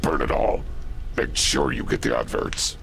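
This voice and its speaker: A man playing a menacing thug ringleader who barks orders in a gravelly voice, in a video game. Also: a little slow, crowd noise, full character voice. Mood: cold, commanding. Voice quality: very deep, gravelly.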